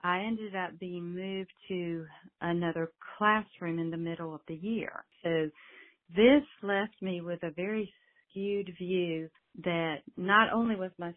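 A woman's voice: lowish voice, unhurried. A middle-aged woman who stutters, a speech-language pathologist, telling her own story in a voicemail. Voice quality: stutters, with some repeated words. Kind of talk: recorded voicemail, personal story. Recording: tinny phone line voicemail.

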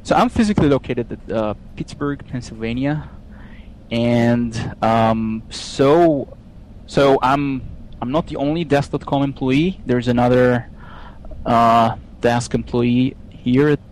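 A man from Israel with an Israeli accent, speaking English as a guest in a technical interview, with frequent pauses, fillers and restarts. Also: medium pitch, darkish tone, rough recording. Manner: hesitant, many fillers and restarts. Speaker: software engineer guest.

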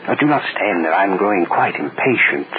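An actor playing a pompous, menacing government official in a 1930s radio drama, curt and commanding. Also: male voice, low voice, very animated, fairly quick, background noise. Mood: threatening, impatient. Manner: imperious, curt, barking orders. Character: pompous, menacing male state propaganda official. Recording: muffled 1930s radio recording.

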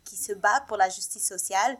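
A woman's voice: medium pitch, brisk. A woman whose first language is Spanish, a podcast guest who talks hesitantly, with many fillers and English words mixed in. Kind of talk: casual podcast chat.

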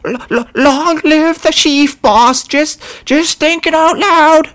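A man voicing a small, cowardly, scheming male goblin who stammers and wheedles in a high voice. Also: takes it slowly, a bit bright, heavily acted. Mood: wheedling.

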